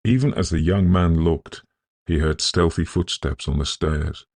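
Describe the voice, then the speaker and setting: A man narrating a literary ghost story in a measured, solemn, scholarly manner, with a gravelly, husky voice. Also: low voice, darkish tone, no room sound. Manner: measured, deliberate, solemn delivery.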